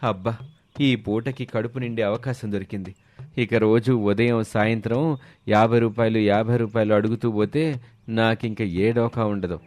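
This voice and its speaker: A man playing a sly, smooth-talking village drinker in an animated moral story. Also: lowish voice, moderate pace, natural voice. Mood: scheming. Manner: wheedling, scheming, reassuring.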